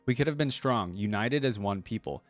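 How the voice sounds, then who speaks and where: A man's voice: fairly low pitch, expressive, normal speed, no room sound, soft background music, lightly acted. A man reading the part of a dying, betrayed rebel zealot in a solemn, accusing manner from a story. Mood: bitter, accusing.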